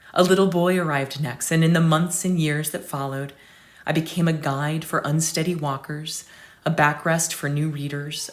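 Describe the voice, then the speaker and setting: A loving aging family dog, gentle and devoted, tells her own story, read aloud by a woman. The voice sits low and moves softly and slowly, as in a storybook. Tender throughout, never acted as a creature. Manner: soft, tender, slow. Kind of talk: storytelling reading.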